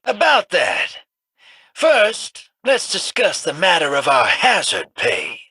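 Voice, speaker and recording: A man's voice: sits high, huge pitch swings, slow pace, bright, forceful tone, breathy edge, no room sound, exaggerated voice. A man voicing a shady, cocky smuggler in a video game, drawling and teasing as he talks.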